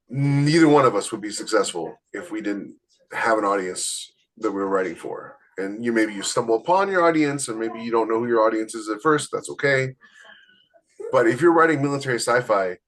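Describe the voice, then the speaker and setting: A man's voice: fairly low pitch, fairly bright tone, low-quality recording. A man chatting casually as a podcast co-host, giving writing advice in a blunt, emphatic, opinionated way.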